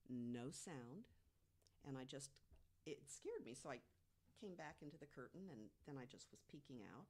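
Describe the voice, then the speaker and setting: A woman's voice: a bass voice, lively intonation, moderate pace, a little mellow. A woman of sixty-six telling stories casually as a panelist on a conversational podcast. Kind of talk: casual personal storytelling.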